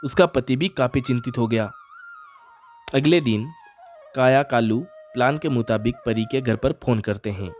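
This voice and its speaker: A man's voice: mid-range voice, measured pace, darkish tone, faint music behind. A man telling a scripted children's animal story as its narrator.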